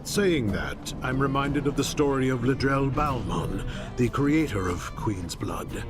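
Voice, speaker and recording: A man's voice: mid-range voice, animated, a little slow, close to an everyday voice. An actor playing an eloquent, earnest male card devotee in a video game, telling stories in an ornate, dramatic way. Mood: intrigued storytelling. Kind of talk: video game cutscene voice acting. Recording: loud music over the voice.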